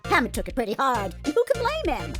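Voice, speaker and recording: A woman's voice: sits high, wildly swooping pitch, fairly quick. A woman voicing a gossipy, folksy, opinionated elderly security guard in a video game. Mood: wistful, indignant. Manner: folksy, gossipy, ungrammatical turns of phrase. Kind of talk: scripted game character voice-over. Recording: background music throughout.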